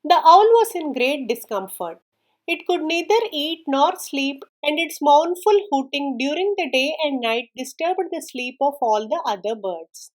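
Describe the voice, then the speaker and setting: A woman with a high-pitched voice narrates a story for children. She reads from a script in an expressive storytelling style. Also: a little slow.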